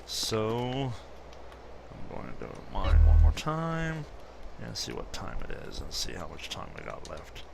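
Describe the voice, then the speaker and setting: A man's voice: on the low side, wildly swooping pitch, a little slow, creaky, noisy background. A man in his fifties casually chatting through gameplay commentary, rambling and correcting himself as he goes. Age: 50s. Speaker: gaming YouTuber.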